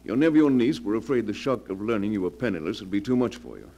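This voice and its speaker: An actor playing a grave, courteous small-town judge with measured, formal, halting speech in 1940s radio style. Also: male voice, on the low side, expressive, mellow, highs cut off. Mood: grave, apologetic. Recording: slightly noisy 1940s radio recording.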